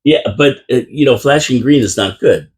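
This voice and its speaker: A man's voice: mid-range voice, normal speed, slight background noise. A man hosting a live local radio talk show from a small studio, chatty and rambling with lots of restarts. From Canada. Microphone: studio microphone.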